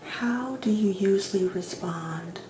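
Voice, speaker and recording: A woman doing a soft-spoken ASMR roleplay as a calm doctor, asking questions gently in a hushed, near-whispered voice with long pauses. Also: medium pitch. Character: calm doctor giving a personality assessment. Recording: roomy echo, clear background noise.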